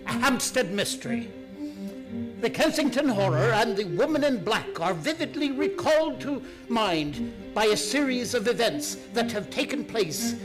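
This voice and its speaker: Man acting a high-pitched newspaper announcer. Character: earnest old-fashioned newspaper announcer. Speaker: newspaper reader. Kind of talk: dramatic scripted news reading. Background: music.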